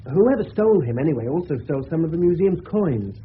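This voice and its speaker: An actor playing a respectful, plain-spoken police subordinate in a 1970s radio drama, with an English accent and a slightly hesitant manner. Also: male voice, fairly high pitch, sing-song, quick pace. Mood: dutiful. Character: deferential, matter-of-fact English police subordinate reporting to superior. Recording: thin narrow-band old radio recording, hiss.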